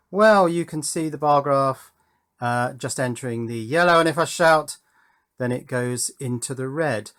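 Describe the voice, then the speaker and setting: A man explaining circuits at his electronics workbench in a methodical, think-aloud way, recorded on a camera microphone. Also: on the high side. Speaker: electronics hobbyist presenter. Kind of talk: workbench tutorial.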